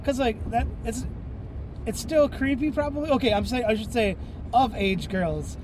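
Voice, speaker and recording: A man with a stuffy, congested voice hosting a rambling solo podcast, often clearing his throat and sniffing, full of fillers and restarts. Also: very high voice, normal speed, noisy background. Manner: rambling, many fillers and self-corrections. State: tired. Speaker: podcast host.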